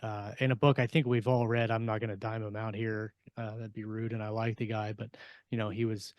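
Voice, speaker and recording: A man's voice: fairly low pitch, level tone, brisk, dry recording, dull audio. A man talking casually as a co-host in a podcast panel discussion.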